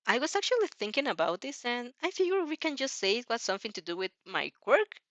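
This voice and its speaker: A woman plays a young vampire girl from a fan fiction story, cheeky and sure of herself. The voice is fairly high, casual and chirpy, recorded very dry. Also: sing-song, fast talker, fairly bright tone, no room sound. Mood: pondering. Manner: chirpy, casual, self-assured.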